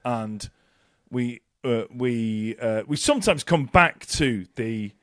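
A man's voice: medium pitch, expressive, slow, no room sound. A man co-hosting a casual podcast, swearing, joking and rambling through his announcements into a close mic. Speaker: podcast co-host. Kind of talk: casual chat.

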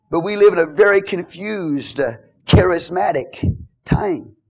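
Middle-aged man, pastor mid-sermon; fairly high voice, playful asides, builds to loud exhortation. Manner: jokey, swings from quiet asides to loud exhortation.

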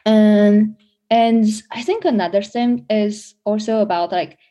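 A woman's voice: mid-range voice, measured pace, mellow, dull audio. A young woman from China, a business school student answering interview questions in a chatty way with many fillers and restarts. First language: Chinese.

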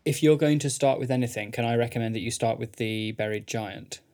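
A male podcast co-host with an ordinary, mid-pitched voice. He talks things through in a thoughtful, unhurried way, tripping over a word here and there. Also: a little mellow. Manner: thoughtful, stumbling over words. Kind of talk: casual podcast chat.

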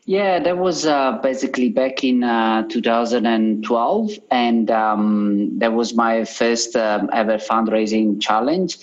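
A man from Italy with an Italian accent, a podcast guest answering questions in a halting way with many fillers and restarts. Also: medium pitch, dull audio. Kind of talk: casual interview answers.

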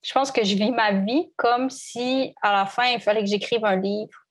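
A woman's voice: a little high, fairly even intonation, normal speed. A woman violinist from Canada, in her thirties, talking reflectively in an interview, hesitant with many restarts. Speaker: violinist guest. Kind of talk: conversational interview.

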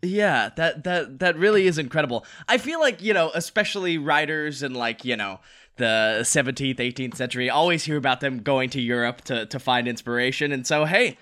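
A man's voice: medium pitch, brisk, pushed and bright. A man co-hosting a casual podcast interview, chatting with effusive reactions to the guest. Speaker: podcast co-host.

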